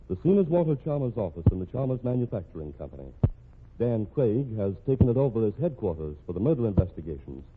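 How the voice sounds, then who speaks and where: A man's voice: lowish voice, noisy background. A man announcing an old-time radio mystery in a dramatic, scripted narration style. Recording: tinny, narrow old radio recording.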